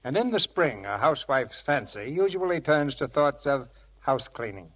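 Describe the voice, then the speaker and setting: A man presenting scripted 1940s radio theatre as host and narrator, with grand, measured showmanship. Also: medium pitch, sing-song, a bit bright, muffled recording. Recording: vintage radio broadcast with music.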